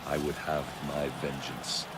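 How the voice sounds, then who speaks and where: A vengeful Roman warrior narrating a game story. His voice is extremely low and flat, grave and brooding. There is clear noise behind him. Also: a little slow. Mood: grim resolve. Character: grim vengeful Roman soldier hero narrating his revenge. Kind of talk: dramatic video game narration.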